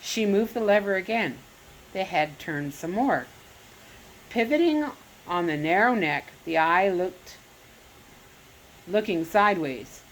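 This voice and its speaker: A woman reading a children's chapter book aloud as a storyteller, expressive and animated, now and then correcting herself. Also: lowish voice, slow, pauses often, a little hiss or hum, poor audio. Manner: expressive read-aloud with lively rises on exclamations; occasional self-corrections.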